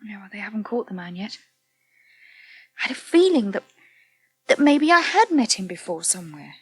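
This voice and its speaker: A woman's voice: mid-range voice, big pitch swings, normal speed, breathy edge. An actress playing a shaken, guarded, self-doubting woman in a radio soap, speaking hesitantly and troubled. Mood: distressed, doubting. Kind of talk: British radio soap acting.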